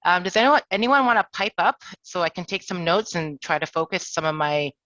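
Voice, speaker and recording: A woman's voice: fairly low pitch, lively intonation, brisk, a bit bright, dry recording, muffled recording. A woman presenting an online career workshop as an HR director, chatty and self-deprecating with jokes. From Toronto, Canada. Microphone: video call.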